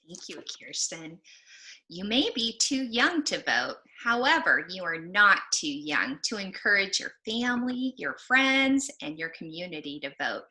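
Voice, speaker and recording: Woman, bright and animated, children's storytime reader, deliberate emphatic delivery. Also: lowish voice, poor audio. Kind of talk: expressive read-aloud and presenting for children.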